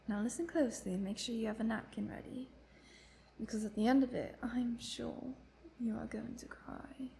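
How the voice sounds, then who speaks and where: A woman's voice: a little high, steady tone, moderate pace, creaky, warm tone, a little hiss or hum. A woman playing a chatty, playful vampire prisoner who teases and tells her woes theatrically in a roleplay monologue. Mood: playful, indignant. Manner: playful, talkative, teasing, theatrical. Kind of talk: dramatic roleplay monologue addressed to the listener.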